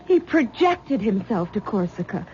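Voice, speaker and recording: An actress playing an anxious young wife who stammers nervously in a vintage radio drama. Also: female voice, mid-range voice, sing-song, measured pace, dark tone. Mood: tense. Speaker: troubled wife. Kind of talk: 1970s radio drama acting. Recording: dull old radio broadcast with faint hiss.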